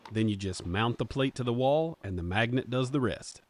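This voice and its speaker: A man's voice: mid-range voice, animated, normal speed, very noisy. A man, hosting a woodworking channel in an upbeat, jokey how-to manner from his home workshop. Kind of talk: scripted workshop narration.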